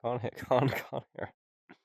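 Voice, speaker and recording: A man's voice: mid-range voice, steady tone, measured pace, vocal fry, a little mellow, no room sound, clean studio sound. A young man co-hosting a podcast, chatting casually with a joking, self-mocking manner and frequent laughs. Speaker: podcast co-host. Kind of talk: casual chat.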